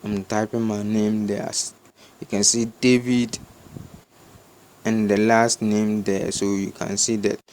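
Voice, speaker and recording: Male tutorial presenter, Ghanaian accent, slow and repetitive delivery, slightly noisy home recording. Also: on the low side, little pitch movement, a little mellow. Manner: repetitive, halting instruction. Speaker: tutorial host. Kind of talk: step-by-step screen tutorial, unscripted.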